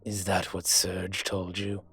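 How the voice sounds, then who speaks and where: A man playing a suave, teasing dragon mafia boss in an intimate roleplay audio, speaking deliberately with a husky voice. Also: low voice, monotone, fairly bright tone, faint music behind. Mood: candid, measured. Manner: smooth-talking, deliberate, teasing.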